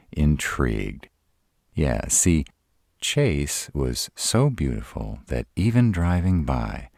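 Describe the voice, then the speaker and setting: A man's voice: very deep voice, creaky, darkish tone, no room sound, studio quality, exaggerated voice. A man playing a nervous, sarcastic male vampire in a roleplay audio, stammering and hesitant, easily exasperated.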